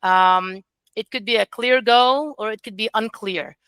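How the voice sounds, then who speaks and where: A woman's voice: fairly high pitch, unhurried, a bit bright, clean tone, no room sound. A woman in her forties, not a native English speaker, gives a chatty, encouraging webinar talk as an academic coordinator. She speaks over a video call and uses many fillers. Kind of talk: informal webinar presentation.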